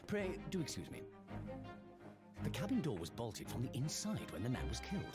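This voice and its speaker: An actor playing a grand, eccentric British detective in a video game, with a theatrical, pompous British accent and music behind. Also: male voice, medium pitch, huge pitch swings. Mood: grandly deducing. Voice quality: theatrical, pompous, drawn-out deduction. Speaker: game character. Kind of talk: game voice acting.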